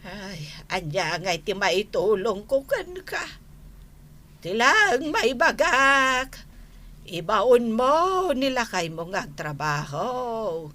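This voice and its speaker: An elderly, sympathetic mother in an Ilocano radio drama. She speaks very slowly, with lively rises and falls and a bright tone. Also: fairly high pitch, full character voice. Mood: dismayed, sympathetic. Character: slow, sympathetic elderly mother, an old woman.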